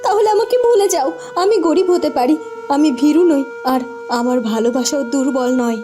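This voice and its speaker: A woman's voice: high voice, normal speed, music in the background, natural voice. A woman voicing an earnest, emotional young village woman, poor but proud, in a cartoon. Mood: defiant, hurt. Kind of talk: cartoon voice acting.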